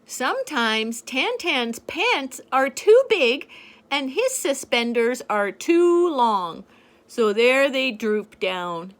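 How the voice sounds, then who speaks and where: An older woman reading a picture book aloud to a child in a gentle, playful way, pointing out the pictures as she goes. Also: high voice, very animated, takes it slowly. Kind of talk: storybook read-aloud to a child.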